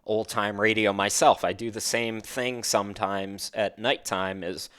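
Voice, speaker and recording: A man's voice: on the low side, fairly even intonation, a little slow, a bit bright, smooth. A man in his early forties hosting a casual interview, phrasing his thoughts hesitantly and thoughtfully. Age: early 40s. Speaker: interview host.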